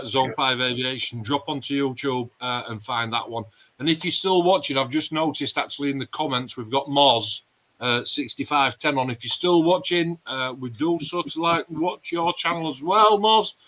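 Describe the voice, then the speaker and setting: A man's voice: medium pitch, bright, forceful tone. A middle-aged man with a Yorkshire accent hosting a casual online chat, telling stories bluntly with plenty of swearing. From Yorkshire, England. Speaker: host of a modelling club chat.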